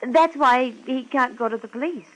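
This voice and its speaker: A woman's voice: sits high, moderate pace, a bit bright, rough recording. An actress playing an anxious, evasive young woman hiring a detective, hesitant, in 1950s radio drama style. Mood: hesitant, guarded. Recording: vintage 1950s radio broadcast.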